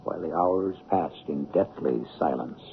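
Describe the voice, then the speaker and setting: A man narrating a radio drama as host, with a gravelly voice and a solemn, measured, theatrical delivery. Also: rumbling low, heavy background noise. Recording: narrow-band old radio broadcast. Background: music.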